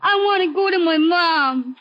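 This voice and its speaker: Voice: extremely high pitch, unhurried. A performer playing a frightened but defiant little runaway boy in a 1950s radio drama, pleading and stammering. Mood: scared, pleading. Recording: muffled old radio recording.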